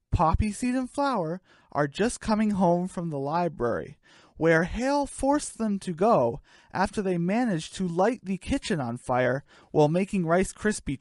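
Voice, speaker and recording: A woman's voice: on the low side, a little mellow, dry recording, clean studio sound. A woman narrating a scripted comic radio play in a storytelling style.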